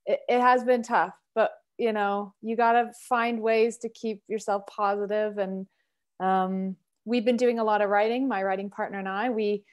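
A woman from Canada in her thirties tells her story on a video call from home. She talks expressively in a middle pitch, as a musician guest on an interview show. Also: flat delivery, moderate pace. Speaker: singer and sax player, guest. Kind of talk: casual interview chat. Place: home room, indoors.

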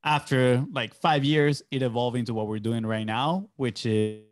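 A man's voice: medium pitch, fairly even intonation, moderate pace, no room sound, clean studio sound. A young man from Venezuela, a native Spanish speaker, telling his story in a casual, conversational way as a podcast guest. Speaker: podcast guest, agency entrepreneur. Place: small home studio indoors. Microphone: close boom-arm podcast microphone with headphones.

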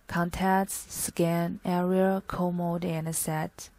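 Slow, monotone woman narrating a product guide. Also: lowish voice, no room sound. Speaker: product demonstrator. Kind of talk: scripted product tutorial narration.

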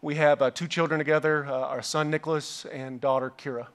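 Formal man, higher flat voice. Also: a little fast, clean tone. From Chicago, raised near Lexington, Kentucky. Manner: formal, respectful, steady. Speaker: business co-owner, Marine veteran. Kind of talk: formal remarks at a roundtable. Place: large hall stage, panel table.

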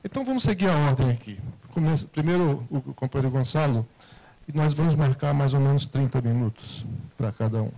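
A man's voice: mid-range voice, animated, a little fast, gravelly, dark tone, low-quality recording. A man moderating a seminar panel, reading the agenda formally and stumbling over words and names. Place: conference room at panel table.

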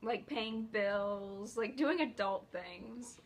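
An eighteen-year-old woman from upstate New York answers casually with easy fillers. Clear background noise and clicks run under her voice. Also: on the high side, slow pace. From Ithaca, New York. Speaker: interviewee.

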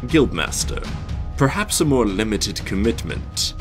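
A man playing an anxious, disapproving priest adviser, pleading and deliberate. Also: on the low side, big pitch swings. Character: anxious, scolding pinch-faced priest adviser. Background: music.

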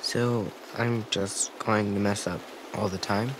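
Shy guilty boy in a video game, hesitant, a bit low, clear stream noise behind him. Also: fairly even intonation, slow pace. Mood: sad, subdued. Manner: hesitant, soft-spoken, apologetic. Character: timid, guilt-ridden gentle young boy. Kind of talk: naturalistic video game dialogue acting. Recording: clear outdoor stream ambience.

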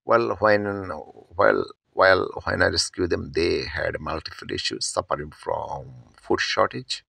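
A man narrating his kitten rescue vlog from behind the camera, speaking halting English full of fillers and restarts, outdoors at a work site. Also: deep voice, gravelly, sharp tone, husky, no room sound. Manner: halting non-native English with many fillers. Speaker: cat rescuer and vlogger. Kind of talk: casual off-camera narration over footage.

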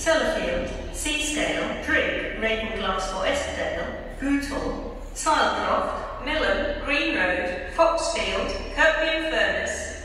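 A woman's automated railway announcement voice, with measured, evenly spaced delivery, played over a station PA and recorded from a distance on the platform. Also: on the high side, sharp tone, a little hiss or hum. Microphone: phone or camera recording a PA speaker from afar. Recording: echoey station PA heard from far off. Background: station ambience.

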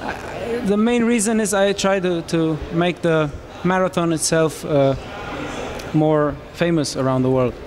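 A man in his thirties from Romania, speaking English, answering interview questions into a handheld mic in a small room, with halting phrasing and frequent restarts. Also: a little high, a crowd in the background. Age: 30s. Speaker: marathon runner interviewee.

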